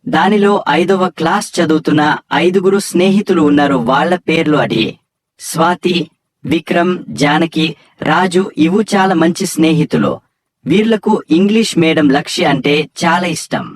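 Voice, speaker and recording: Male storyteller with a slightly high voice. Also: normal speed, no room sound. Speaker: story narrator. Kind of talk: scripted children's story narration.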